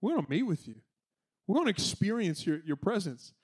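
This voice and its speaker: A male pastor with a notably high-pitched voice preaches to a congregation. He has a loud voice and a conversational, energetic delivery full of playful asides. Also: fairly quick, husky. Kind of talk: sermon.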